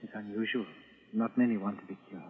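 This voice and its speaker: An actor playing a courteous, condescending psychiatrist in old-time radio drama style, pedantic and deliberate, with fussy hesitations. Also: male voice, fairly low pitch, steady tone, slightly rough. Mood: condescending, calm. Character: smug, unshakeable Martian psychiatrist, courteous and condescending. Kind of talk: 1940s-50s radio drama acting. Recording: noisy, tinny old radio recording.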